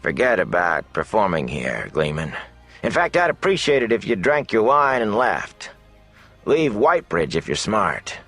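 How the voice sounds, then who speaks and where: A man voicing a chatty, excitable middle-aged innkeeper with folksy, gossipy talk in a fantasy audiobook. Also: on the low side, unhurried, soft background music, full character voice. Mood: wary. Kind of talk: fantasy audiobook character dialogue.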